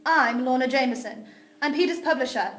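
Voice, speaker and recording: A high-pitched, icy snob of a publisher, acted by a woman in an audio drama. She is clipped, impatient and condescending. The room rings around her voice. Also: fairly quick, a bit bright. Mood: cool, condescending. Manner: clipped, condescending, corrects others' grammar. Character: haughty, icy female publisher, impatient and snobbish. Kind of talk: amateur audio drama reading. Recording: roomy, echoey amateur recording.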